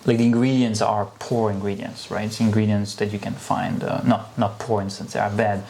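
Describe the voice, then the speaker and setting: A man's voice: fairly low pitch, level tone, brisk, darkish tone, slight background noise. A man talking casually as an interview guest, reflective and hesitant as he searches for words. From Italy (Sicily).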